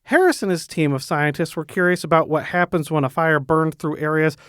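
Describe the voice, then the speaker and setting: A male reporter with a slightly high voice explains a story in a conversational radio style. The sound is dry and close, with very little room on it. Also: lively intonation, brisk, a bit bright, clear, resonant tone, no room sound. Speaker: news reporter.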